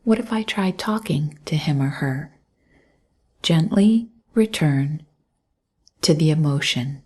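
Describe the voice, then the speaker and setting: A woman guiding a mindfulness meditation in a soft, breathy voice, gently and calmly, with long pauses between instructions. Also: low voice. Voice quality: soft, breathy, often near a whisper. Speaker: meditation guide. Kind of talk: guided mindfulness meditation, scripted narration.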